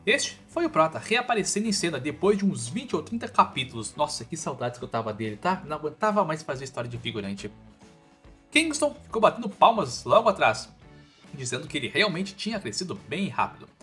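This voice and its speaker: A man's voice: fairly high pitch, big pitch swings, brisk, a bit bright. A man with a Brazilian accent narrating a scripted story recap in a colloquial, informal way with casual asides. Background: background music.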